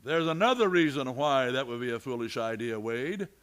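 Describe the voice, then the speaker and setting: An actor playing a steady, authoritative marshal in a Western radio drama, firm, terse and dry. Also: male voice, mid-range voice, moderate pace, close to an everyday voice. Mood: dry, confident.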